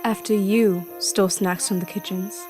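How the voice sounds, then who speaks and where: A woman acting as a young, reserved and melancholy princess in an audio drama, with a measured, formal manner. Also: medium pitch, steady tone, music in the background, close to an everyday voice. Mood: subdued.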